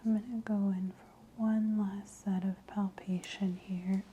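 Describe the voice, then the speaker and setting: A woman doing soft-spoken, mostly whispered ASMR as a practitioner, breathy, gentle and soothing in an unhurried way. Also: mid-range voice, level tone, dull audio, a little hiss or hum. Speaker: ASMR host performing a scalp exam roleplay.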